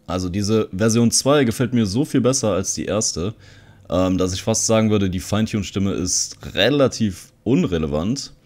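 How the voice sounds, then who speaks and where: A man's voice: lowish voice, expressive, normal speed, darkish tone. A man giving a casual, tutorial-style commentary as a video host. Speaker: video host, content creator.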